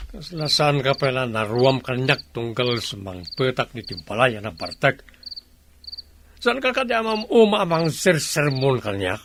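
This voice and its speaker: A man's voice: mid-range voice, background noise. A man acting a village working husband in an Ilocano radio drama, with an Ilocano Filipino accent. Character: village working husband, defensive yet familiar.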